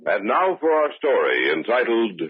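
An actor playing a portentous, theatrical announcer of an old-time radio horror show. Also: male voice, mid-range voice, big pitch swings, moderate pace, natural voice. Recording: muffled old radio recording.

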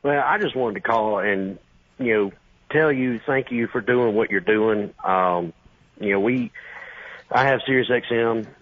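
Brief: twenties man, husky voice, rambling call-in over a phone line. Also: medium pitch, measured pace, dry recording. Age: 20s. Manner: casual, rambling, many fillers. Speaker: caller, high school teacher. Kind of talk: phone-in conversation.

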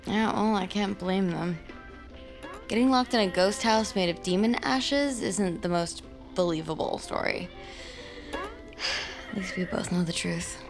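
A mid-pitched female voice with faint music behind. an easygoing young American woman in an audio drama, casual and loyal, teasing in a dry, wry way. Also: big pitch swings, a little slow, pauses often. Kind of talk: naturalistic audio drama acting.

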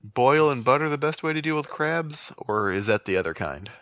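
A man's voice: mid-range voice, expressive, moderate pace, fairly bright tone, no echo, highs cut off. A young man on a casual drunk podcast, wisecracking and arguing his point. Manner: wisecracking, argumentative. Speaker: podcast co-host.

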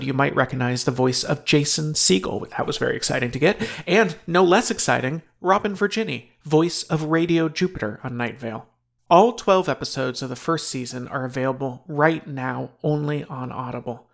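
Creaky-voiced male podcast host. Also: on the high side, moderate pace, a bit bright. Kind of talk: scripted podcast introduction and promo read.

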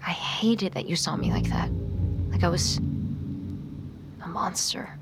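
A woman's voice: lowish voice, huge pitch swings, a little slow, gravelly, exaggerated voice. An actress playing a guilt-ridden young vampire woman in an audio drama, with a dry, guarded way of talking. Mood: guilty, downcast. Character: guilt-ridden female vampire in hiding, dry and world-weary. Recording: music under the voice.

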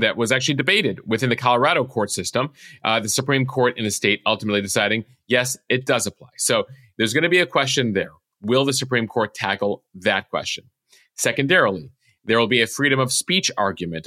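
Bright, forceful American man explaining the news. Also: mid-range voice, no room sound, clean studio sound. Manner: explanatory, walks through points step by step. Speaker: news podcast host.

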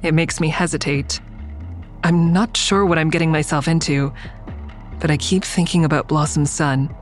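A woman's voice: deep voice, steady tone, moderate pace, soft background music, lightly acted. A woman playing a wary, dry-witted investigator who narrates her own story in an audio drama, guarded and understated. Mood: uneasy, reflective. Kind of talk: first-person audio drama narration and dialogue.

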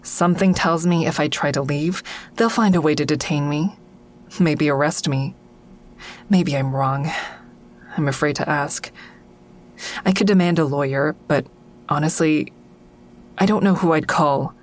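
A woman's voice: deep voice, measured pace, pauses often. An actress playing a defensive, sardonic woman comedian in an audio drama, wry and guarded, confiding her thoughts as narration. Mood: anxious, guarded. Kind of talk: full-cast audio drama acting with first-person narration.

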